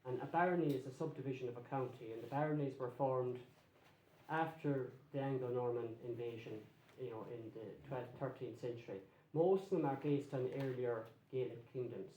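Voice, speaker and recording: A man's voice: mid-range voice, a little fast, dark tone, a little hiss or hum. Irish man lecturing in an echoey room. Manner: conversational, explanatory, checks in with "okay?". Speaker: guest lecturer on place names. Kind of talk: public lecture to a local society. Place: meeting room with an audience.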